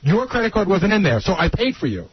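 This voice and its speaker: A man's voice: high voice, sing-song, fast talker, breathy edge. A man in unscripted radio banter, explaining his complaint insistently. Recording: dull radio recording, faint noise.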